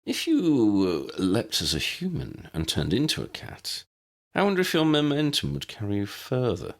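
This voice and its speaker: A man voicing an erudite, courteous, cautious gentleman adventurer who speaks in formal, precise phrases. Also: mid-range voice, very animated, gravelly, pitched above the performer's own voice. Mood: pondering. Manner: formal, measured, precise wording.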